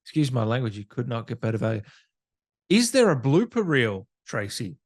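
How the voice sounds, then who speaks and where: A man's voice: medium pitch, very animated, normal speed. An Australian man hosting a casual interview in an enthusiastic, informal and teasing way. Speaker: podcast host, interviewer. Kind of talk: casual chat.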